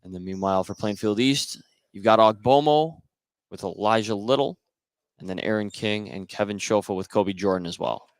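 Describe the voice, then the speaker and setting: A man's voice: fairly low pitch, moderate pace, clear, resonant tone, no room sound. A man doing live play-by-play radio commentary of a basketball game.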